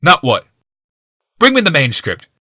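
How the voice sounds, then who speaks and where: Audiobook role: sickly, embittered male inventor acted by a man, suspicious, explosive, sharp-edged delivery, dry close sound. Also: on the high side, wildly swooping pitch, normal speed, no room sound, voice pushed up, exaggerated voice. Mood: accusing, furious. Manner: shouting, hissing, accusing outbursts. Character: bitter, paranoid, sickly male inventor in a wheeled chair.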